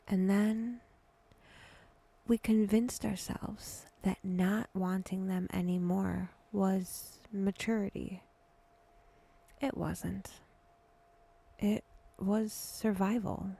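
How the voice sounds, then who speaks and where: A woman guiding a meditation in a hushed voice that drifts toward a whisper, speaking deliberately in short phrases with long pauses. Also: medium pitch, fairly even intonation, gravelly. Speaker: guided meditation host. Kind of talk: slow, breathy guided meditation narration.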